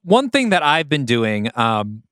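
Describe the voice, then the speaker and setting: A man's voice: medium pitch, big pitch swings, measured pace, a bit bright, smooth, no room sound, clean studio sound. A man co-hosting a podcast in a casual, conversational style. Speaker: podcast co-host.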